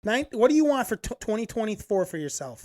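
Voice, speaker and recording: A woman's voice: on the high side, very animated, fast, dark tone, dry recording. A woman in her late thirties co-hosting a podcast, teasing and mock-scolding her friend in casual chat at home. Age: 30s. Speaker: podcast co-host. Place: apartment room, recorded together in person.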